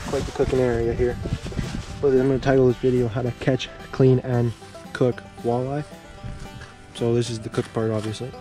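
A man's voice: medium pitch, low-quality recording. A young man casually narrating as he fries fish he caught, talking to the viewers while he works outdoors on an action camera. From Canada. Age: young adult. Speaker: fishing vlogger. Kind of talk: casual outdoor cooking vlog. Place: outdoors at a lakeside parking area. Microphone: action camera, sometimes handheld at arm's length.

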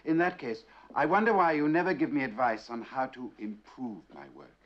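Actor as a proud British painter, expressive pitch. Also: male voice, lightly acted. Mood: wounded, challenging. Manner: measured, challenging, articulate. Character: proud, wounded middle-aged male painter. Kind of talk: 1960s horror film acting. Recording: dull old film soundtrack.

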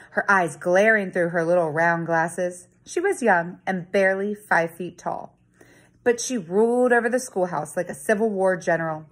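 A woman in her thirties, a teacher, reading a children's story aloud in a dramatic, expressive storytelling manner with deliberate emphasis. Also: lowish voice. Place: living room at home. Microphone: phone or tablet at arm's length.

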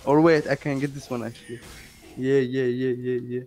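Young male gamer on headset chat; giggly, needling and excitable. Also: medium pitch, normal speed. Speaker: gamer streaming his match. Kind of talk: casual voice chat while gaming.

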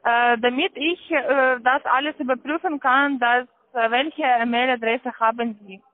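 A woman with a foreign accent, not a native German speaker, giving halting, insistent instructions as a call-centre caller. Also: high-pitched, steady tone, dry recording. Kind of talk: scripted phone instructions, spelling out slowly. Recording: tinny, narrow-band phone line.

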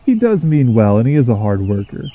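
A man voicing a kindly, patient male steam engine, calmly explaining with good humour. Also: mid-range voice, brisk. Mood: amused, explaining.

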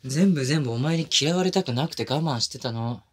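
A man's voice: mid-range voice, normal speed, breathy edge, natural voice. A man playing a smitten, apologetic young boyfriend in an intimate ASMR voice drama, speaking casually and tenderly. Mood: frustrated, confessing.

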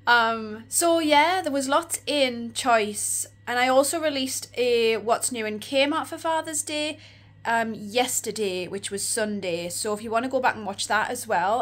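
A woman in her forties with an Australian accent, chatting and rambling in a casual vlog, her voice croaky and tired. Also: fairly high pitch, measured pace, fairly bright tone. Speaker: vlogger. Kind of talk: casual shopping vlog chat. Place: room with neon lights, indoors.

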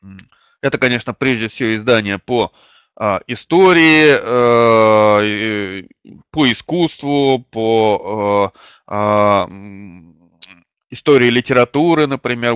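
Older guy with a bit of a cold giving a webinar, lots of umms, dry close sound, mid pitch. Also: fairly bright tone, no echo. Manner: hesitant, many drawn-out fillers. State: slight cold, coughing. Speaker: webinar host and publishing trainer. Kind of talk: webinar lecture with personal anecdote. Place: small indoor room. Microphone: webcam or desk mic at a computer.

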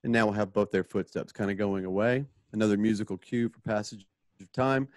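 A man's voice: fairly low pitch, fairly quick, dry recording, studio quality. A man narrating a radio play, casually describing the action and sound effects as he goes. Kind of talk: casual live narration of stage directions and sound cues. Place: home, remote video call.

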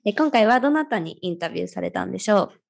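A woman co-hosts a podcast with a polite, agreeable manner. Her voice sits at a middle pitch and she keeps to casual conversation. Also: expressive, racing, creaky. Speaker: podcast co-host. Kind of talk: casual hosting chat.

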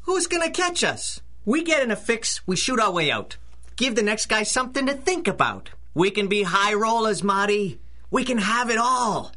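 A bitter small-time hoodlum in an old-style radio serial. His voice is bright and tense and swings widely in pitch. He swaggers in tough-guy slang, jumpy beneath the bluster. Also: sing-song, moderate pace, full character voice. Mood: swaggering, bitter. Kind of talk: 1930s-style radio drama acting.

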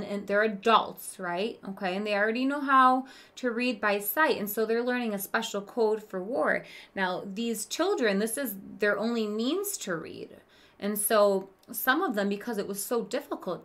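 A woman in her thirties reads a children's book aloud in a bright, fairly high voice. Her pace is very unhurried, with emphatic, dramatic stresses. She moves between storytelling and explaining like a teacher. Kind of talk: expressive children's read-aloud with explanatory asides. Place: small room with children's book shelves.

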